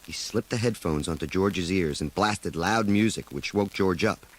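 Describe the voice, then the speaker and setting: A man's voice: sits low, moderate pace, slight background noise, poor audio. A man narrating a children's storybook in a scripted read-along style.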